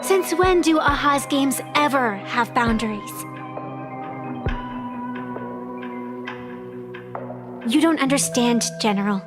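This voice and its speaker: Woman playing an ancient, solemn high-voiced female arbitrator. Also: music in the background, lightly acted. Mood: aloof. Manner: solemn, deliberate, poetic, formal. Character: ancient, proud female arbitrator of deadly games, solemn and fatalistic. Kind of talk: scripted video game voice acting, dramatic storytelling.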